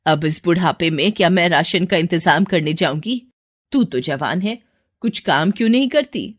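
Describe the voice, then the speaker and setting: A woman's voice: on the low side, expressive, moderate pace. A woman voicing a nagging, bitter old mother-in-law. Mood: bitter, accusing. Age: older. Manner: scolding, taunting. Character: bitter, nagging elderly Indian mother-in-law, always scolding.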